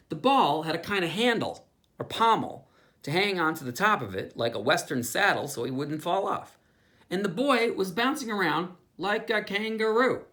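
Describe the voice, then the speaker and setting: Expressive male pastor reading aloud. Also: high-pitched. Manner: expressive storytelling with asides to listeners. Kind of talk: reading a children's book aloud.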